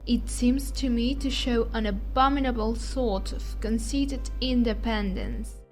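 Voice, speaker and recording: Woman acting high-voiced haughty society lady. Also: fairly even intonation, soft background music, natural voice. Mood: scornful. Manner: affected, drawn-out scorn. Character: haughty young society lady, scornful and insinuating. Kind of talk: period audiobook drama.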